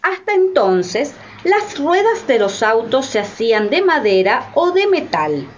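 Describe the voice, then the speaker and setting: A woman's voice: sits high, very animated, unhurried. A woman narrating history as the storyteller of a scripted radio drama. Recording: dull, slightly noisy sound.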